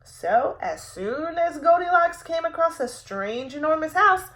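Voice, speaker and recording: A woman reads a children's story aloud in a high, bright voice. Her pitch moves up and down a great deal, and her delivery is expressive and playfully wry, pitched to young listeners. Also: unhurried. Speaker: teacher reading aloud to preschoolers. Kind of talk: animated picture-book read-aloud for young children.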